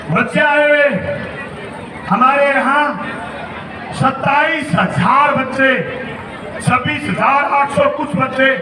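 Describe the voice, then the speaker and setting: A man's voice: very high-pitched, distant mic. A man, a state legislator, giving a rousing, declamatory public speech at an outdoor Independence Day ceremony. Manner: rousing, declamatory, often shouting. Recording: echoey loudspeaker sound from afar, crowd noise.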